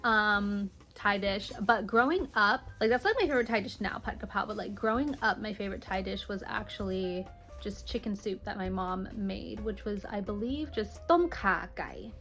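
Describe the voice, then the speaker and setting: A woman's voice: medium pitch, moderate pace, fairly bright tone, faint music behind. A woman in her mid-twenties with an American accent, answering viewer questions in a casual, girly, slangy way. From Hawaii, United States. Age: mid-20s. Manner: informal, girly, peppered with slang. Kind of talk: casual chatty Q&A to camera.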